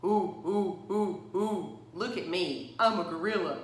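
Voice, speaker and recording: A woman's voice: lowish voice, unhurried, reverberant, lightly acted. A woman reading a storybook, voicing a mocking schoolboy bully who hoots and jeers like a gorilla. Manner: jeering, exaggerated hooting.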